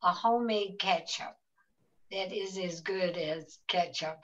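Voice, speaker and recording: A woman's voice: fairly low pitch, unhurried. Woman, curious co-host, home video call, muffled roomy audio. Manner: inquisitive, chatty. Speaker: co-host asking questions over video call. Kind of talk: casual chat. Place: home room indoors.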